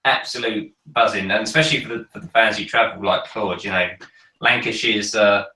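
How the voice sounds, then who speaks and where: A man with a croaky, cold-hoarsened voice, chatting casually with many ums on a fan podcast. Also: fairly low pitch, fairly even intonation, a little fast, bright, forceful tone, reverberant, recorded from a distance, low-quality recording. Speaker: podcast panelist. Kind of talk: casual chat.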